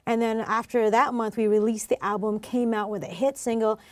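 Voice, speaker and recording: A woman's voice: fairly high pitch, flat delivery, a little fast, a little mellow, dry recording. Chatty woman in a close, dry recording. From Philippines and New Zealand. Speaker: interview guest, singer and worship director. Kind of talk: casual personal storytelling.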